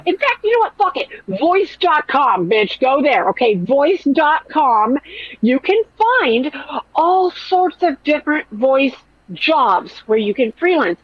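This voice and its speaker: High nasal-voiced woman podcaster. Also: highs cut off. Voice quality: nasal, whiny. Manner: blunt, profane, animated. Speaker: podcast host. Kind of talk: casual commentary chat.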